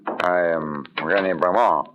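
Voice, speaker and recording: An actor playing an ardent, brooding settler in an old radio drama, speaking in an earnest, formal, dramatic way. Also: male voice, deep voice, takes it slowly. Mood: guardedly polite. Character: ardent, brooding male settler in a colonial outpost. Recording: muffled, noisy old radio recording.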